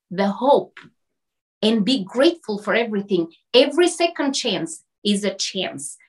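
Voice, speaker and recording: Slow-speaking Albanian-accented woman, earnest. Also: medium pitch. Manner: earnest, exhorting, speaks in short emphatic phrases. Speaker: interview guest, coach. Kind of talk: motivational interview talk.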